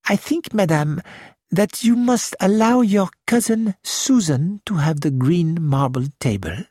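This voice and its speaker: A woman's voice: fairly low pitch, animated, measured pace, mellow, husky, dry recording, clean studio sound. A woman reading the part of a fussy elderly male detective with a French-flavoured manner, polite and precise. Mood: courteous.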